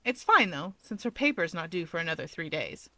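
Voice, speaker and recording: A woman reading scripted fiction aloud as an expressive story narrator. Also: on the low side, a little fast, no echo.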